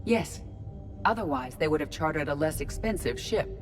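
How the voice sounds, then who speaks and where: A woman voicing a calm ship artificial intelligence in a video game, speaking in a measured, formal, analytical way. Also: sits low, music in the background. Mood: measured, matter-of-fact.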